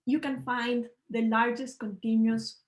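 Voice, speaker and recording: A woman's voice: a little high, flat delivery. Earnest woman from Ecuador presenting. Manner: earnest, measured, slightly non-native phrasing. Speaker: panel speaker. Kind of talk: online presentation talk. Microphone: video call.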